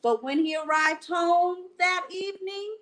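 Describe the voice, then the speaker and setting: A woman with a high-pitched voice hosts a children's bedtime storytime. She takes her time, phrasing things deliberately and speaking straight to young listeners as she reads aloud. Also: fairly bright tone. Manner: slow, deliberate phrasing, addressing children directly. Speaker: library storytime host and reader. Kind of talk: bedtime picture-book read-aloud for children. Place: at home, indoors.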